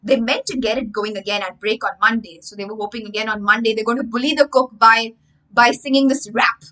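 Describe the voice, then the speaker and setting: A young woman, telling a story to children as a livestream English lesson, theatrical and emphatic, pausing to explain words. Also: fairly high pitch, fairly even intonation, pushed and bright, rough recording. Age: young adult. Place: indoor room with bookshelves.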